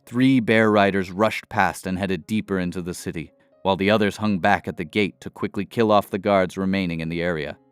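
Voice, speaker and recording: A male storyteller narrates a fantasy adventure in a deep, smooth voice. His delivery is measured and dramatic, recorded dry and close, with music playing underneath. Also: no room sound. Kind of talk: scripted fantasy audio narration.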